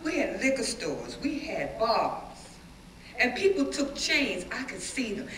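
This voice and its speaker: A woman performing a dramatic stage reading as a defiant, plain-spoken schoolteacher recalling riots, with animated, emphatic storytelling and dramatic pauses. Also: a little high, distant mic. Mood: animated, urgent. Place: echoey indoor room, live reading before an audience. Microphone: distant microphone. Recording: roomy, noisy hall recording from afar.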